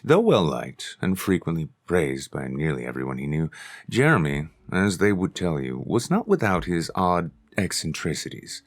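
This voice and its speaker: A man reading a short story aloud as its narrator, in a measured, even storytelling manner. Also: low voice. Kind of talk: scripted audiobook narration.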